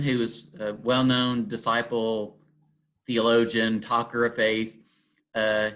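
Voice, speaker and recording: A middle-aged man from Texas speaks in a thoughtful, unhurried way. He pauses with ums and circles back on his phrases as he works through an idea. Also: mid-range voice, a bit bright. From Texas, USA. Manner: thoughtful, many ums and restarts. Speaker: interfaith dialogue guest. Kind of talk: reflective conversation.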